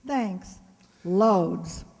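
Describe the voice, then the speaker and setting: A woman's voice: mid-range voice, expressive, drawn-out words, creaky, darkish tone, breathy edge, full character voice. An actress playing a wry female schoolteacher with a dry, sardonic delivery in a comic radio play. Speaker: amateur radio-play actor. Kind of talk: comic old-time radio sitcom acting. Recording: echoey hall with long reverb.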